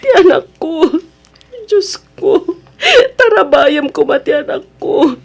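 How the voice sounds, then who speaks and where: A woman's voice: sits high, slow pace, gravelly, full character voice. A woman with an Ilocano Filipino accent playing a young, emotional, pleading mother in a radio drama. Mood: anguished, pleading. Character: distraught young mother, trusting then anguished over her child.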